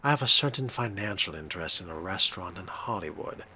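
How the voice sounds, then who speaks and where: Man playing a deep, gravelly, suave vampire. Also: animated, fast, pushed and bright, slight background noise, full character voice. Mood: musing. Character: suave, menacing male vampire fledgling, sardonic and predatory. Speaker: player voicing the game's main character. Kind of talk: in-character video game roleplay.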